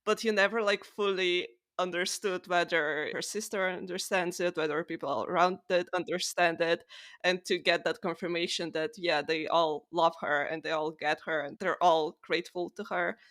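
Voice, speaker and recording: A woman's voice: on the low side, fairly even intonation, normal speed, a bit bright. A woman co-hosting a podcast, talking through books in a casual, opinionated way. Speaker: podcast co-host. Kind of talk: casual book discussion.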